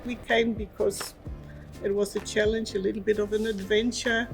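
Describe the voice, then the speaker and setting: A woman's voice: mid-range voice, little pitch movement, moderate pace, gravelly, poor audio. A woman, speaking thoughtfully about leaving her family behind. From Germany. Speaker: interviewee. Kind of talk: personal interview. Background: background music.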